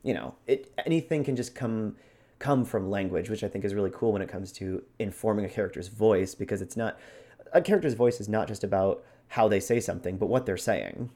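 Guy with a lowish voice chatting through roleplay voice tips, animated, like a podcast co-host. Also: brisk. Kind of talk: conversational instruction on character voices.

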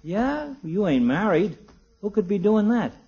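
An actor playing a talkative, cheeky small-town barber in a 1950s radio comedy, slangy and folksy. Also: male voice, high voice, sing-song, measured pace, warm tone, husky, exaggerated voice. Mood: wry. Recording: dull, muffled old radio recording.

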